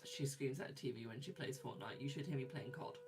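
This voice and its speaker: Young male tarot reader live-streaming: chatty, quick to laugh, mid-range voice, music underneath. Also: medium pitch. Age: 20s. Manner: chatty, reads viewer comments aloud, laughs often. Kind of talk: live stream chat with viewers.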